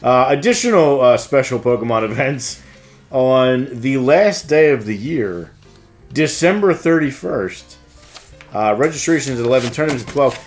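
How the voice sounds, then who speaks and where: A man with a mid-range voice co-hosts in a casual, bantering style. His delivery is hesitant yet very animated, prone to playful outbursts, and soft music runs behind him. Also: medium pitch, normal speed. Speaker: co-host of a game store news show. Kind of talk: casual banter and event news.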